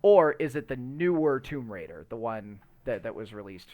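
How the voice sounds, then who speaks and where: A man hosting a casual podcast chat, opinionated and emphatic, often halting and rambling as he searches for words. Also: medium pitch, very animated, darkish tone. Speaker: podcast host. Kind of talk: casual chat about movies.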